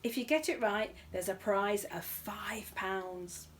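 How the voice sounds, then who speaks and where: A woman's voice: medium pitch, slow, a little hiss or hum, natural voice. A woman voicing a strained, easily crossed male quiz show master of ceremonies in a children's story, in a showy announcing manner.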